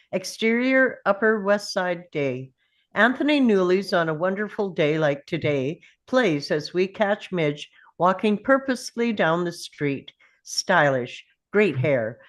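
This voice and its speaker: A woman reading scripted stage directions as narrator at a table read. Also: on the low side.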